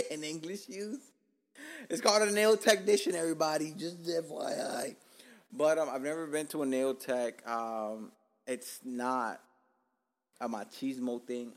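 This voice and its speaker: Male podcast host, 30s, born in Cuba; loose, profane, joking delivery. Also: on the high side. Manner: profane, joking, laid-back street talk. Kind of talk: casual podcast chat.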